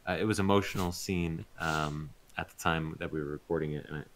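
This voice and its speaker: Really deep voiced guy getting interviewed, casual, pauses a lot while thinking out loud. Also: fairly even intonation, slight background noise. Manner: hesitant, thinking aloud with long pauses. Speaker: voice actor being interviewed.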